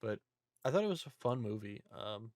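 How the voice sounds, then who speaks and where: A man's voice: on the low side, big pitch swings, moderate pace, dry recording, clean studio sound. A man hosting a podcast, talking casually about films in an informal, joking recap style. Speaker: podcast host. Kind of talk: casual movie discussion.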